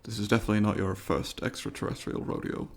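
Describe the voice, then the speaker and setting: A man's voice: lowish voice, little pitch movement, fast, creaky. A man voicing a polite, eager alien student in an ASMR roleplay, speaking formally and precisely to the listener in an inquisitive, apologetic way. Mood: careful, reassuring.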